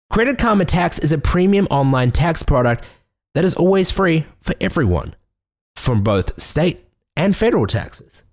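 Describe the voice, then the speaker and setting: A young man reading sponsor ads on a podcast in an upbeat, salesy way. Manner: upbeat salesy delivery, lively pitch. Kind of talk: scripted ad read.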